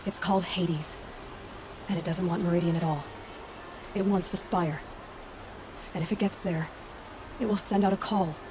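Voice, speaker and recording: An actress playing a determined, earnest young heroine in a video game, speaking with urgency. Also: female voice, fairly low pitch, noisy background, lightly acted. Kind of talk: dramatic video game dialogue.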